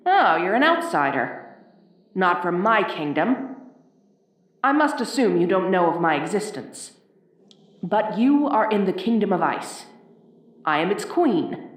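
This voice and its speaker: A woman playing a haughty, bossy ice queen in an audio roleplay, speaking with imperious, deliberate phrasing. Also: on the low side. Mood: appraising, intrigued. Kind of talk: audio roleplay addressed to the listener. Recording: roomy, echoing voice recording.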